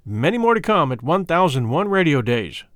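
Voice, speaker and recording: A man's voice: a little high, big pitch swings, normal speed, background noise. A man hosting, reading a scripted introduction. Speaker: host.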